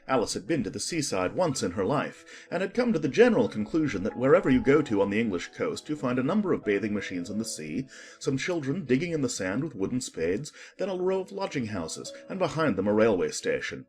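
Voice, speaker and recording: An audiobook narrator telling a children's story. The delivery is expressive and animated, swooping in pitch for emphasis. Soft music plays behind the voice. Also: a little fast.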